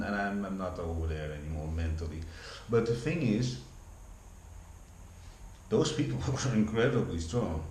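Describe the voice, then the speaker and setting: A Dutch-accented man in his forties with a fairly low voice, talking off the cuff for his vlog. He wanders, restarts sentences and repeats words, in a room with a noticeable echo. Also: a little mellow. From Netherlands. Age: 40s. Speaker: vlogger. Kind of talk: casual rambling monologue. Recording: echoey room with faint background hum.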